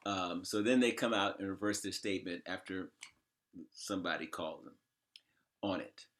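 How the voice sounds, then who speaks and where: A man hosting a discussion, talking off the cuff in a halting, emphatic and opinionated way. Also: sits low, fairly bright tone. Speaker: host interviewer. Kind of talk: conversational, off-the-cuff commentary.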